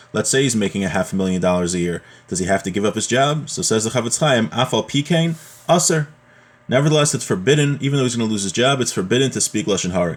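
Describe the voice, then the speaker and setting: Low-voiced man teaching a religious text. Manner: explanatory, checks with rhetorical questions. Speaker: religion teacher giving a class. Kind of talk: informal religious text lesson, reading and explaining.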